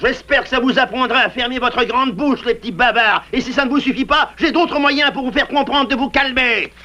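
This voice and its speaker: A man's voice: very high voice, a little fast, pushed and bright, highs cut off. An actor playing a menacing, blustering wolf father, a bullying cartoon villain who threatens and mocks. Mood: mocking, menacing. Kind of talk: French-dubbed cartoon acting.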